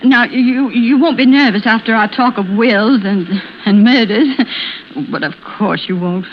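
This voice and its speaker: An actress playing a nervous, helpless middle-aged wife in a fluttery, plaintive, hesitant way, in the style of vintage radio suspense. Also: female voice, fairly high pitch, a little slow, close to an everyday voice. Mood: fluttery, coy. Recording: muffled, noisy old radio recording.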